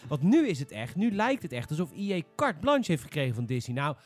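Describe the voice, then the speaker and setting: A man's voice: a little high, big pitch swings, fairly quick, soft background music. A man with a Netherlands Dutch accent hosting a casual panel podcast, opinionated and emphatic. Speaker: podcast host. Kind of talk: casual panel discussion about games.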